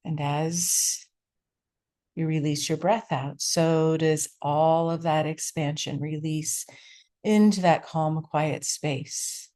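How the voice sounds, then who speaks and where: Low-pitched woman guiding a slow meditation. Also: fairly even intonation. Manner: gentle, unhurried instruction with long pauses. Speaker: meditation teacher. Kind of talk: guided meditation.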